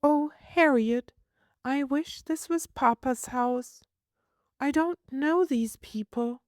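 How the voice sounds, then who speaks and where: A woman's voice: high-pitched, slow, no echo, clean studio sound, pitched above the performer's own voice. A woman voicing a small, solemn, homesick little girl in a storybook, speaking in precise, imperious, formal phrases. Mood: homesick, plaintive.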